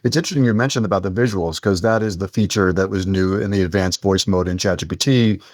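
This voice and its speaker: Low-voiced man co-hosting a podcast. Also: fast talker, dry recording, studio quality. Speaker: podcast co-host. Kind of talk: casual chat.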